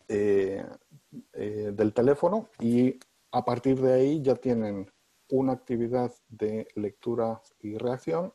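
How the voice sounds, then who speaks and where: A man from Spain with a husky, darkish voice explains ideas at an easy conversational pace. He often pauses and restarts his sentences. The sound is close and dry, with no room. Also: lowish voice, warm tone, no echo. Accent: neutralized Spanish, mixing Spain and Mexico. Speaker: interviewed professor. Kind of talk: relaxed conversational interview.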